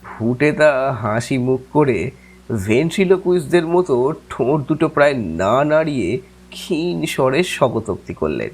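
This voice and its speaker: A male storyteller with a mid-pitched, husky voice. He reads scripted dramatic narration in a wry, expressive way, his pitch moving widely. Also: a little slow. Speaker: storyteller, first-person narrator.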